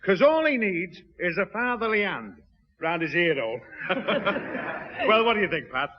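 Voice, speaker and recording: High-pitched, chummy Northern bachelor. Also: male voice, big pitch swings, moderate pace. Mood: confiding. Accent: Northern English. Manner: chummy, pompously muddles long words. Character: jovial middle-aged bachelor neighbour who mangles fancy words. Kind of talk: 1960s radio sitcom acting. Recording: muffled, hissy, reverberant 1960s radio recording.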